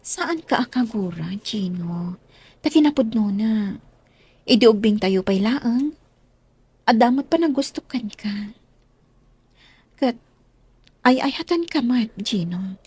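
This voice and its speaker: An actress playing a gentle, affectionate young woman in an Ilocano radio drama, speaking softly and coyly with an Ilocano accent. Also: a little high, animated, takes it slowly, pauses often, husky, no room sound, full character voice.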